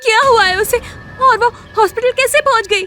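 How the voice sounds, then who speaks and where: A woman's voice: extremely high pitch, fairly quick, bright, forceful tone, a little hiss or hum. An actress playing an anxious, tearful, innocent young woman in an audio drama, with pleading, emotional delivery. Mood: worried. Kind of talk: Hindi horror audio drama acting.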